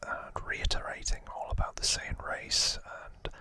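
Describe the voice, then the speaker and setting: A man's voice: a little slow, bright, forceful tone. A man hosting an ASMR channel, whispering an informal trivia recap with hesitant, musing asides.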